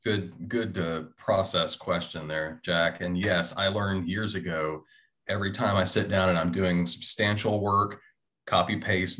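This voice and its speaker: Deep-voiced man in casual discussion. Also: a bit bright. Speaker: panelist, writer. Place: small indoor room. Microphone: video call.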